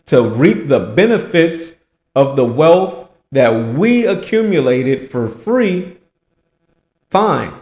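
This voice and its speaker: Echoey, higher-pitched man giving emphatic commentary. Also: a little mellow. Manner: emphatic, rhetorical, repeats phrases for effect. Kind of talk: political commentary mixed with reading aloud. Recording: echoey, roomy sound.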